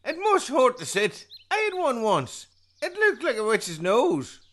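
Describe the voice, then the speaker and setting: Blunt old oddball uncle for comedy: extremely high, wildly swooping. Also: male voice, wildly swooping pitch, unhurried, fairly bright tone, heavily acted. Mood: grumbling. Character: eccentric, blunt old uncle named Brian. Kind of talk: comic audio story.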